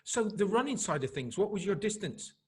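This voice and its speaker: A man hosting a sports podcast, energetic and upbeat as he asks questions. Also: high-pitched, brisk, husky. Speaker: podcast host.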